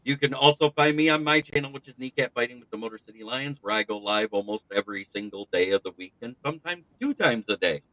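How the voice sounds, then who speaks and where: A man's voice: medium pitch, fast, few pauses, bright, forceful tone, no echo, lo-fi sound. A man co-hosting a sports podcast who writes about football, talking eagerly. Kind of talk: casual sports talk.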